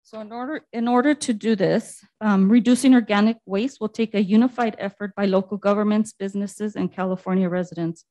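A woman on city staff presents to the council, mostly reading her slides aloud and leaning on "um" between phrases. Her voice sits at a middling pitch, and the sound is dry and close. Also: fairly even intonation, a little fast, hardly any pauses, mellow, dry recording. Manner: reads slide text aloud with frequent fillers.

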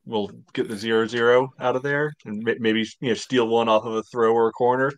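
A man's voice: medium pitch, steady tone, brisk, highs cut off. An American man chatting casually as a panelist on a sports podcast over a video call. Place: indoor room, video call.

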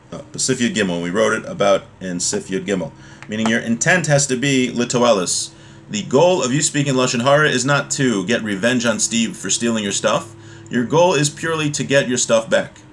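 A man's voice: mid-range voice, a little hiss or hum. A man in his thirties giving an informal religious lesson, reading from a text and explaining it in a hesitant way. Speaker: religious teacher. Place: small home room, indoors.

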